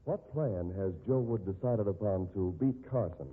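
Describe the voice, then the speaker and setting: A man narrating a 1950s radio show as announcer, in a theatrical, deliberate scripted style. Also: lowish voice, husky. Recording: tinny band-limited 1950s radio recording.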